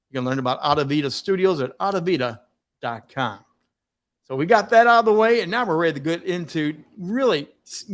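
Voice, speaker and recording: A man hosts a live-streamed talk show over a video call. He speaks in an upbeat, emphatic, promotional manner. His voice sits a little high. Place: home studio, Washington DC.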